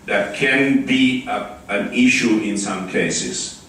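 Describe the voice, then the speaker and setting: Slow Italian-accented man in echoey hall. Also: fairly low pitch, sharp tone, slight background noise. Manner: halting, with many restarts and fillers. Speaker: conference speaker. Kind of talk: conference talk with slides. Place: large conference hall. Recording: echoey hall, far from the mic.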